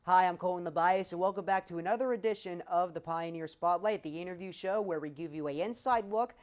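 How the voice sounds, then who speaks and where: A man's voice: high-pitched, brisk, smooth. A young American man reporting and interviewing in a presentational, announcer-like broadcast style. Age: young adult. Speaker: student sports and news reporter, interviewer.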